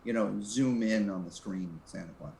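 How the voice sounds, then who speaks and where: A man chatting casually as a panel guest, with halting, hesitant phrasing. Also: on the low side, creaky, a little mellow. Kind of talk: casual chat. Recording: echoey room with faint noise.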